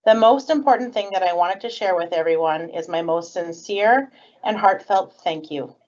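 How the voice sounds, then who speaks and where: A woman's voice: on the low side, normal speed, a little mellow. A woman who is a school principal, giving an earnest, grateful address to her community. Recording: dull lo-fi sound.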